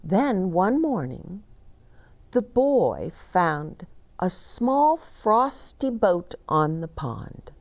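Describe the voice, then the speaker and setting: An older woman reading a children's storybook aloud expressively, with deliberate, dramatic phrasing and pauses. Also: medium pitch, sing-song. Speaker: children's story reader and host. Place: small decorated set, indoors, seated.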